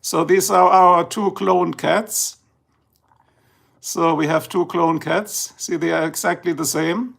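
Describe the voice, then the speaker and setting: German-accented male streamer, gravelly and a touch high, rambling and sleepy. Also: fairly even intonation, fairly bright tone. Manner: rambling, chatty, slow and drowsy at times. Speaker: livestream host. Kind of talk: casual live-stream chat. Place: indoors, filming a tablet slideshow.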